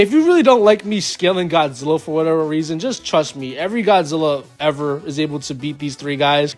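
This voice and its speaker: High-voiced man hosting over music. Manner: informal, argumentative fan-debate delivery with slang. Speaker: host of a video channel. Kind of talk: casual scripted video-essay commentary.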